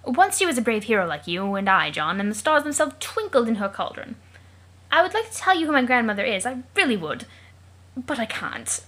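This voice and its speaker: A woman's voice: fairly high pitch, sing-song, fairly quick, bright, forceful tone, full character voice. A woman voicing a plucky, chummy young male adventurer with a put-on British accent and theatrical, old-fashioned wording. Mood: jaunty, confiding.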